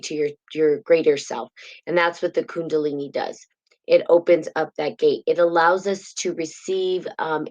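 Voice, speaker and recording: A woman hosting a live spiritual talk stream, teaching casually in a rambling way and greeting viewers by name, heard on a built-in device mic. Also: low voice. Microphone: built-in device mic instead of her usual mic.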